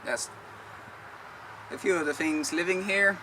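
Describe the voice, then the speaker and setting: A man's voice: high voice, a little slow. Dutch-accented man vlogging outdoors. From Netherlands. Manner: plain, matter-of-fact explaining. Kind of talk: casual Q&A vlog. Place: outdoors on a rural property, wind and traffic. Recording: noisy outdoor recording with wind and traffic. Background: wind and road traffic.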